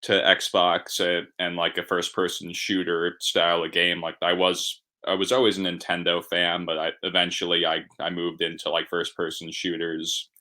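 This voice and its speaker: A man's voice: low voice, completely flat delivery, moderate pace, few pauses, pushed and bright. A man on a gaming podcast telling a rambling story with self-deprecating humor. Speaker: podcast co-host. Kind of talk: casual chat.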